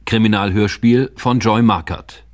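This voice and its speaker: A man's voice: lowish voice, fairly even intonation, a little slow, noisy background. A man reading a scripted title announcement for a radio drama. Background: prominent guitar music.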